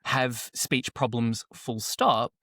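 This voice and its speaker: A man co-hosting a language podcast in casual chat. He speaks softly and deliberately, pausing to search for his words. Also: medium pitch, steady tone, dry recording, studio quality. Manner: measured, searches for words, soft-spoken. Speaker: podcast co-host.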